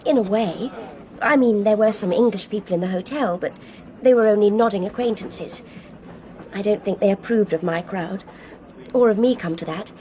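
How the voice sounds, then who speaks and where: An actress playing a highly strung, independent young Englishwoman in a radio drama. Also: moderate pace, warm tone, a little hiss or hum, lightly acted. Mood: subdued, candid. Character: distressed, aloof young Englishwoman travelling alone abroad.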